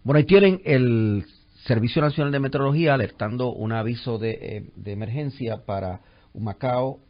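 A man's voice: mid-range voice, a little fast. A man commenting live and unscripted on a broadcast. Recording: dull, muffled broadcast audio.

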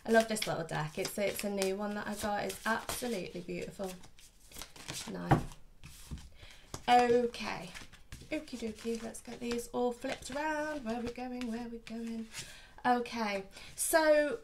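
A woman's voice: a little high, a little slow, noisy background. A woman in her thirties gives a casual, chatty tarot reading to camera at home, slightly sniffly with hay fever. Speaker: tarot reader, host. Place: small furnished room at home, indoors.

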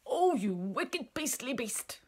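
Female reader doing a huge elephant, booming, blustering, emphatic, outraged, children's story character. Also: normal speed, creaky, slight background noise, natural voice. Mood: outraged scolding. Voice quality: big, booming. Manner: loud, emphatic scolding. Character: big indignant male elephant, blustering, scolding.

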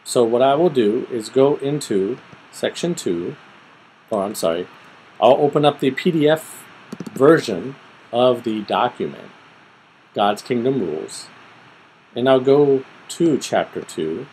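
A middle-aged man with a medium-pitched voice talks through a computer task in an informal tutorial style. He goes methodically step by step, pausing as he thinks aloud. He is recorded at a desk in a small room on a computer microphone, with noticeable background noise. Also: expressive, darkish tone, rough recording. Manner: methodical, step-by-step explaining with pauses. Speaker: tutorial presenter. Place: small room at home, indoors.

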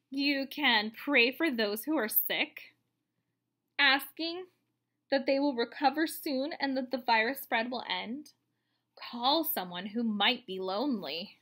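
Woman, thirties, high bright voice, expressive read-aloud for kids. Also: takes it slowly, long pauses. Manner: expressive, explanatory, speaking simply to children. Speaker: teacher reading a children's book aloud. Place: indoors, room at home.

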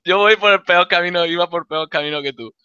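Bright, crisp-voiced man in his thirties. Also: high voice, fairly quick, dull audio. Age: 30s.

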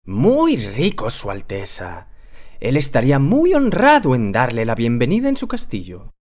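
Someone voicing an animated, clever talking tomcat. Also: mid-range voice, moderate pace. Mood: courtly, flattering. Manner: persuasive, formal, courtly address. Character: clever, confident talking male cat servant. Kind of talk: children's cartoon character acting. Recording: light music behind the voice.

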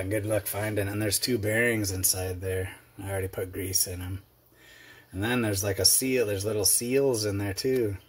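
A man's voice: lowish voice, a little mellow, husky. A middle-aged man casually narrating a workshop how-to in his garage, rambling and chatty with lots of fillers, his hands busy on the repair. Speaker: garage video host. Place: cluttered home workshop garage.

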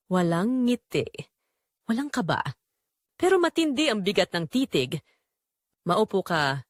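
A woman narrating a scripted dramatic story in a solemn, deliberate, emotive way. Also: mid-range voice, no echo, clean studio sound.

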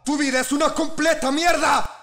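A man's voice: very high-pitched, fairly even intonation, normal speed, pushed and bright, raised voice. A man with a Latin American Spanish accent voicing a ruthless young prosecutor in a film dub, taunting and intimidating a suspect with vulgar contempt. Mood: furious. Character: ruthless young male prosecutor, menacing, explosively angry interrogator. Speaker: fan dub voice actor. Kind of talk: dramatic film dub acting.

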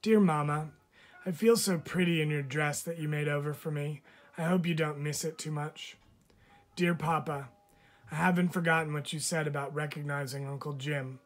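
A man, a teacher, reads a picture book of letters aloud with expressive, measured phrasing. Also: a little high. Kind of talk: storybook read-aloud of letters.

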